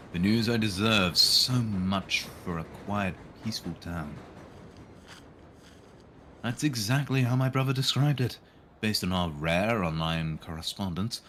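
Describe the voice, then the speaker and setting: A man's voice: on the low side, a bit bright, slight background noise. A man streaming a visual novel, reading it aloud and dropping in dry, irreverent asides. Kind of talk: live read-aloud of a game with casual joking asides.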